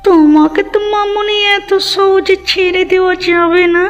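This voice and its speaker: A woman's voice: very high-pitched, fairly even intonation, normal speed. A woman voicing a sly, greedy village aunt who puts on sweetness, theatrically, in a moral-story cartoon. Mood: scheming. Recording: dull audio with music underneath.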